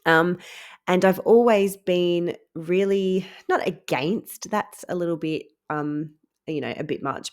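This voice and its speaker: A woman hosting a spiritual podcast, talking casually and earnestly. Her voice is nasal and stuffed up from a head cold. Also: low voice. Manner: chatty and earnest, many ums and laughs. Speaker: podcast host.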